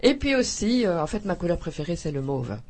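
A woman's voice: fairly low pitch, sing-song, brisk, a little mellow. A woman author from Belgium in a radio interview, talking effusively with frequent hesitations, restarts and laughter.

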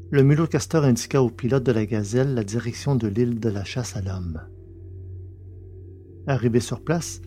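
A man reads a science-fiction adventure as an audiobook narrator. His voice is mellow and medium in pitch, with soft music behind it.

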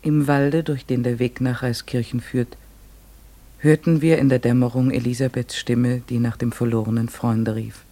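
Man acting an earnest troubled chronicler, mid-pitched, measured formal radio play narration. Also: level tone, warm tone, natural voice. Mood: wistful narration.

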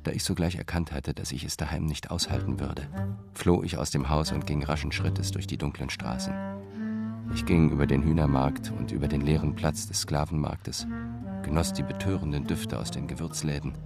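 An actor playing a secretive, brooding illustrator, narrating in measured literary phrases in a radio play. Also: male voice, extremely low pitch, steady tone, gravelly. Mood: brooding, restless. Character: troubled male manuscript illustrator, secretive, brooding storyteller. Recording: loud plucked-string music behind voice.

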